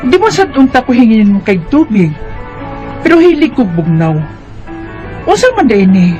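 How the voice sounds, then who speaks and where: A woman's voice: on the high side, big pitch swings, normal speed, dark tone, highs cut off, soft background music. A woman with a Cebuano Bisaya accent playing a tired, worried teenage schoolgirl who complains and questions in a radio drama. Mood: puzzled, troubled. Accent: Cebuano Bisaya Filipino.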